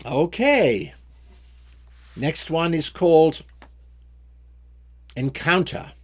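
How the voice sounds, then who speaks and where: Slow, expressive man reciting story poems. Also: on the high side. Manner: measured, sing-song metrical phrasing. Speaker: poet reading own poems. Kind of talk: rhymed storytelling poetry recitation.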